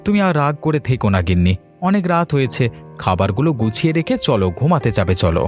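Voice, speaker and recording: A medium-pitched cartoon husband, voiced by a male actor, with very animated intonation. He is a sociable village trader with a coaxing, appeasing way of talking, and faint music plays behind. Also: fast talker, natural voice. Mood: placating. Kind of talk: cartoon folk-tale dialogue acting.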